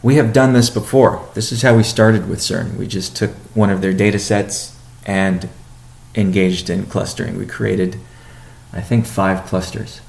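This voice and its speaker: A man giving a company update on a conference call as its chief executive, speaking in a measured, halting way with deliberate pauses. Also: lowish voice, vocal fry, darkish tone. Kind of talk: business conference call presentation.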